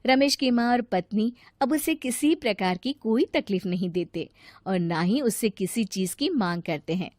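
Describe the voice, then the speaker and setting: A woman's voice: medium pitch, lively intonation, normal speed, darkish tone, no echo. A woman reading a scripted story narration for a cartoon.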